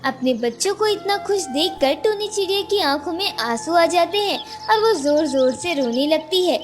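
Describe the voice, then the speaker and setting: A woman's voice: extremely high pitch, lively intonation, moderate pace, soft background music. A woman storyteller reading scripted narration for a children's story.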